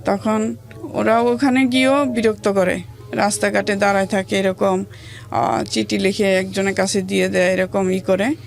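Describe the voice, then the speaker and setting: A woman from Bangladesh with a Bangladeshi accent, telling a personal life story as an interview guest in plain, halting, colloquial speech. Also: mid-range voice, steady tone, creaky, soft background music.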